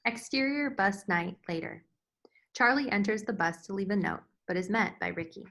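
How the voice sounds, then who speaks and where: A woman narrates a screenplay's stage directions. Her voice is medium in pitch. She delivers it as scripted narration at a table read. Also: moderate pace, vocal fry, fairly bright tone.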